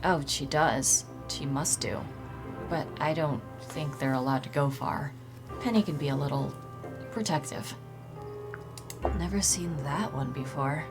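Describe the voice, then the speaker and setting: A low, frank young woman. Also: measured pace, long pauses. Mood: reflective. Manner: guarded, candid, low-key. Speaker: suspect questioned in a mystery. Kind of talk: video game voice acting. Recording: game audio with music underneath.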